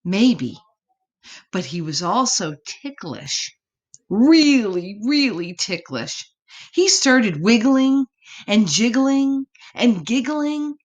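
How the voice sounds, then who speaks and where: A woman reading a children's story expressively, with dramatic pauses and drawn-out words. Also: fairly high pitch, very animated, lo-fi sound. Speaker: storybook reader.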